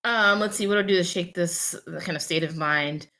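A woman's voice: lowish voice, a little fast, pushed and bright. A young adult woman co-hosting a casual podcast, talking candidly and reflectively with many fillers and restarts. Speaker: podcast co-host.

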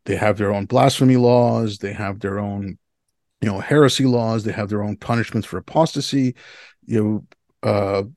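Gravelly man in his fifties, casual storyteller. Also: fairly low pitch, moderate pace, a little mellow, no echo, studio quality. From Canada. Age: 50s. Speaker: interview guest.

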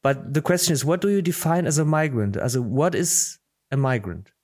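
A man speaks in a fairly high voice during a casual discussion. He talks hesitantly, stopping to restart and circling back over the same phrases. He was born in Poland and grew up in Germany. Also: brisk, darkish tone. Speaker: discussion participant.

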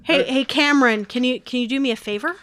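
A woman's voice: high-pitched, fast talker, a bit bright. A woman guest on a podcast, chatting casually with a teasing, sardonic humour. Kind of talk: casual comedic group chat.

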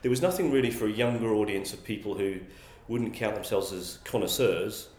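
Man with a lowish voice, roomy sound. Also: a little fast, darkish tone. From England. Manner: thoughtful, frequent restarts and repeated words. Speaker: guest, magazine publisher. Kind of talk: conversational interview answers.

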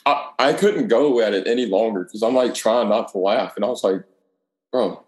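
Male, low register, slangy and sweary storyteller who plays out both sides of conversations, roomy echo. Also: moderate pace. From Alabama, United States. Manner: profane, slangy, acts out dialogue. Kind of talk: casual chat, telling rambling anecdotes. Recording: echoey room.

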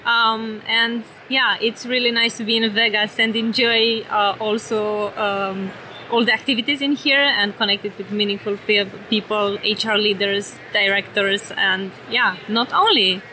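A woman's voice: mid-range voice, fairly even intonation, a little slow, sharp tone, a little hiss or hum, low-quality recording. A woman from Romania with a Romanian accent, speaking English as a second language in an earnest conference floor interview as a company executive. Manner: earnest, repeats phrases, non-native phrasing. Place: busy trade show floor.

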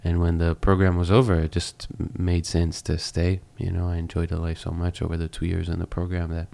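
A man from Israel, a musician, chatting easily as a podcast guest at a friend's home, agreeable and quick to laugh. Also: low voice, monotone, creaky, dark tone. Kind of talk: casual interview chat. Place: host's home.

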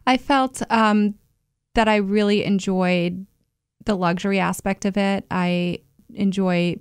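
Very creaky-voiced woman, evenly pitched. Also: fairly even intonation, slow, no room sound, clean studio sound. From born in Switzerland, moved to New York. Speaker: interview guest, hotel general manager. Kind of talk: conversational interview answers, personal recollection.

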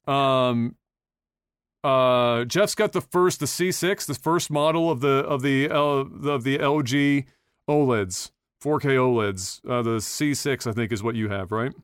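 A man's voice: mid-range voice, a little slow, smooth, dry recording, studio quality. A young man hosting a podcast in casual chat, rambling with lots of fillers, restarts and swearing. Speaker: podcast host.

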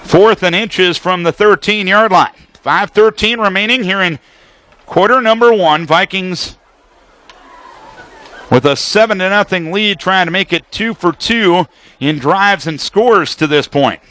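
Man, American accent, football radio announcer, high forceful voice, detailed running call. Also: a little slow. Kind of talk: live American football commentary.

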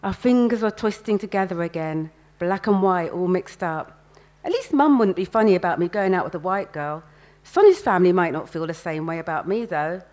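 A woman giving an expressive first-person reading of a story at a live event. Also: lowish voice, normal speed. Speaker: writer reading own fiction.

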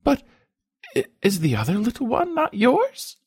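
A man's voice: high-pitched, huge pitch swings, a little slow, a little mellow, husky, dry recording, studio quality, voice pushed up, exaggerated voice. A man voicing a wilful, spoiled wealthy wife, pleading in a broken, trembling, childlike way for a storybook. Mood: tearful.

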